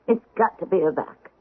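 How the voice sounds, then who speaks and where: A woman's voice: phone-quality sound, natural voice. A woman playing an anguished, devoted middle-aged stage actress in a theatrical, intense 1970s radio drama style. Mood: gently solicitous.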